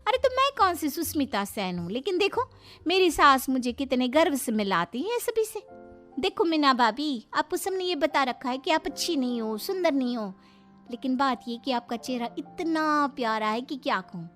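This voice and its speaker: A gossipy young neighbour wife from a cartoon story, played by a woman. She is frank, coaxing and emphatic, with a very high, sharp-edged bright voice over soft background music. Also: very animated, normal speed. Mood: sympathetic, coaxing. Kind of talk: dramatized Hindi moral story for cartoon.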